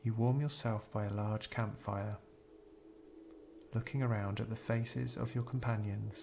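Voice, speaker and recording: Man narrating meditation with a creaky voice. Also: fairly low pitch, fairly even intonation, slight background noise. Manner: measured, soothing, gentle delivery with pauses. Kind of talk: scripted guided meditation narration.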